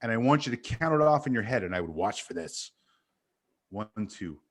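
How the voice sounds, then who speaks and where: A man from Montreal, an animated guest who tells stories and corrects himself as he chats on a video call. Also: fairly low pitch, normal speed. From Canada. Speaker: guest sales speaker. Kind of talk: casual video-call chat.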